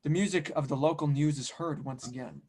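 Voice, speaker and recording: Casting: male narrator for an audio drama table read, slightly high, gravelly voice, scripted delivery. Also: fairly quick, darkish tone. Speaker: narrator reading stage directions.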